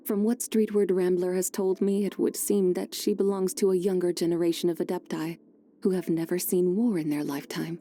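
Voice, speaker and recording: A woman voicing a serene, wise, wistful ancient female immortal in a video game, speaking formally in measured, genteel, old-fashioned phrases. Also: on the low side, mellow, natural voice. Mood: calm, thoughtful. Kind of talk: scripted game character voice lines.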